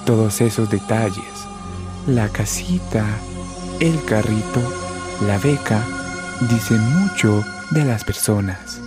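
A man's voice: on the low side, slow. A man playing a mischievous, materialistic Cupid in a radio comedy sketch, with a Colombian accent and a teasing delivery. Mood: smug. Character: mischievous, materialistic male Cupid pushing his love arrows. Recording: music behind the voice.

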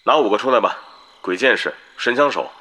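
An actor playing a brisk guild leader giving orders. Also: male voice, fairly low pitch, expressive, noisy background, natural voice.

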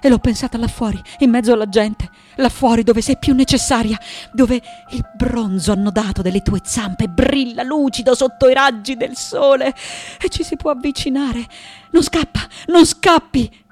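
A woman acting an intense, tormented elderly sculptor in a poetic radio monologue, with deliberate, dramatic phrasing and long pauses. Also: a little high, a little mellow, soft background music, close to an everyday voice. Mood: impassioned, pleading.

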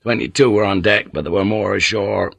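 Man playing low-pitched, calm ship's pilot. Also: gravelly, no echo. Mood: matter-of-fact. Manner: measured, reassuring. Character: calm, authoritative male ship's pilot and leader.

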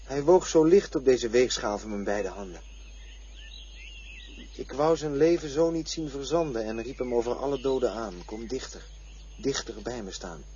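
A man's voice: medium pitch, normal speed, warm tone, muffled recording, slight background noise, lightly acted. A man as a tender, grieving son. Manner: tender, reflective storytelling. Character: grieving son recalling his late father.

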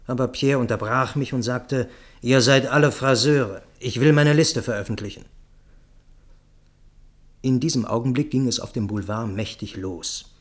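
A man giving a scripted first-person literary narration for a radio play, voicing the dialogue as he tells it. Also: medium pitch.

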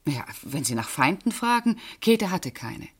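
A woman's voice: sits low, big pitch swings, unhurried, husky, full character voice. An actress playing a precise, guarded woman witness answering questions in a German radio crime drama, with controlled delivery. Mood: earnest, guarded.

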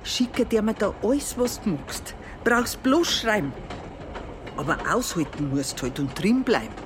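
A woman's voice: mid-range voice, animated, a little slow, background noise, lightly acted. An actress playing a blunt, commanding middle-aged Bavarian mother in a dialect radio drama. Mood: brusque, urging. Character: brusque, sharp-tongued Bavarian mother, middle-aged innkeeper's wife.

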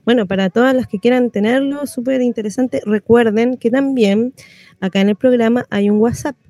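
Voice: mid-range voice, moderate pace, mellow. A radio host with a Chilean accent, chatting informally in a casual community radio interview.

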